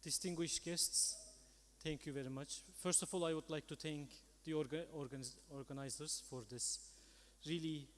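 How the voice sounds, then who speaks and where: A man's voice: on the high side, fairly even intonation, moderate pace. A man chairing a conference session, speaking politely and hesitantly in semi-formal, unscripted remarks. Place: large conference hall. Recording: echoey hall with long reverb.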